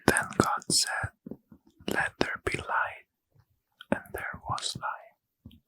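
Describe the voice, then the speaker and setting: A man reading scripture in a slow, breathy unvoiced ASMR whisper as a host. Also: pushed and bright, no room sound.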